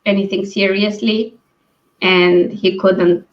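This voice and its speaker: A woman hosting a casual book discussion over a video call, thinking aloud in halting phrases. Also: mid-range voice, level tone. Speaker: book read-along live stream host. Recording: dull, lo-fi stream audio.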